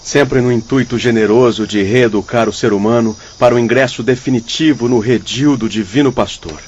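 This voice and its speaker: A man's voice: medium pitch, normal speed, close to an everyday voice. Man voicing a lofty, benevolent spirit preacher. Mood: solemn exhortation. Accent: Brazilian. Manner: declamatory, lofty, formal. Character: exhorting benevolent male spirit teacher. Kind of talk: solemn radio drama sermon. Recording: dull audio over faint music.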